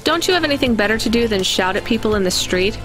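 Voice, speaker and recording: A woman's voice: fairly high pitch, lively intonation, fast talker, a bit bright, soft background music. A woman playing a wry, conscientious young delivery driver in naturalistic, conversational video game dialogue. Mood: wry annoyance.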